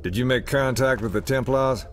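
An actor playing a grave, protective special forces squad member in a video game, earnest and concerned. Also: male voice, mid-range voice, moderate pace, slight background noise, lightly acted. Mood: businesslike. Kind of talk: dramatic video game acting.

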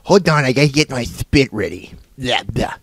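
A man's voice: mid-range voice, expressive, moderate pace, creaky, slightly rough. A fan voice actor complaining sarcastically between blooper takes, grumbling about his small part.

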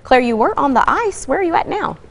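A woman's voice: high-pitched, big pitch swings, brisk, vocal fry, in a large hall. A woman news anchor reading a polished scripted lead-in and tossing to a reporter.

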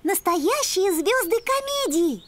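Very high, innocent little cartoon creature. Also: female voice, sing-song, slow, exaggerated voice. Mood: encouraging. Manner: naive, gentle, earnest questioning. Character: sweet, innocent, curious little fuzzy moon-born creature boy. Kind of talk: children's cartoon acting.

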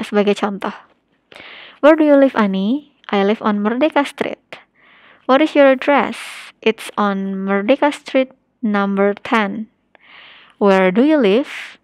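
A woman with an Indonesian accent giving an English lesson as a teacher, explaining and slowly reading out example phrases in an instructional way. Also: mid-range voice, no echo. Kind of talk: slide-based language lesson, mixing explanation with read-out example sentences.

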